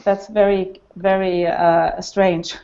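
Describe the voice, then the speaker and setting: A woman from Sweden speaks slowly and haltingly over a video call, pausing with many fillers. The sound is dull and lo-fi. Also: mid-range voice, flat delivery, mellow. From Stockholm, Sweden. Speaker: hangout host. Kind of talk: casual video call talk.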